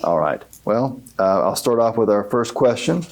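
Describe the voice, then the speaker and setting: A man with a fairly low voice moderates a candidate forum. He sets out the procedure informally and sounds casual and accommodating as he hands over the turns. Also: expressive, normal speed, crowd noise.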